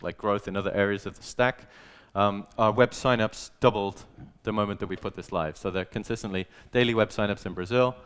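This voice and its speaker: A man's voice: on the low side, a bit bright, clear, resonant tone. A young man giving a casual presentation talk as a conference speaker, conversational and full of fillers and restarts.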